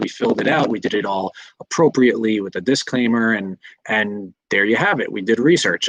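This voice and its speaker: A man's voice: lowish voice, a bit bright, dry recording, low-quality recording. A man in his thirties, a doctor in training, answering viewer questions in a live session, explaining at length with frequent fillers and restarts. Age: 30s. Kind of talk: live Q&A talk.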